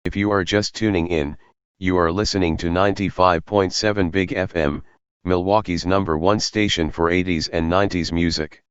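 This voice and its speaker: A man's voice: deep voice, steady tone, normal speed, clean tone, dry recording, natural voice. A man playing a music-station radio host, delivering scripted news in a polished, serious announcer style, with slightly dull audio. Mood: grave, composed.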